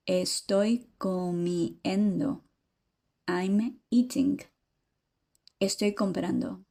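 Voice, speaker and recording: A woman narrating a scripted language lesson at an unhurried pace. Her voice is mellow and soft-edged, and she repeats each example phrase more slowly for the learner. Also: medium pitch. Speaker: language teacher.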